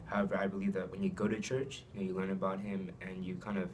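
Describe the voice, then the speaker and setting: A man's voice: deep voice, little pitch movement, fast, darkish tone, a little hiss or hum. A young man, a student athlete from Saipan raised in Japan, answering interview questions casually with many ums and likes. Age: young adult.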